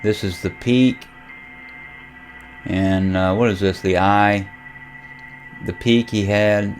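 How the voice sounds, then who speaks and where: An American man giving a casual, unscripted software walkthrough as a hobby vlogger, halting as he thinks aloud. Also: on the low side, fairly even intonation, faint music behind. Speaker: amateur radio vlogger demonstrating software. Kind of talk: casual unscripted screen tutorial.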